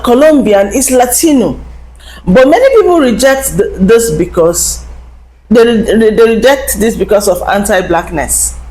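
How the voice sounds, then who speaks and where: A woman's voice: medium pitch, a little mellow, poor audio. A woman hosting a talk show, explaining to viewers in a lecturing way and asking rhetorical questions. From Africa. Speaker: host.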